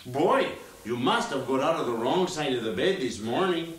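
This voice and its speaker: A man's voice: mid-range voice, animated, moderate pace, close to an everyday voice. A man acts out a self-pitying dictator general who whines about his burdens in a thick mock Spanish accent. His voice swings widely up and down. It sounds roomy and set back from the microphone, like an old radio play. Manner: whining, theatrical sighs. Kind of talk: 1980s radio play acting. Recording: roomy, distant studio sound.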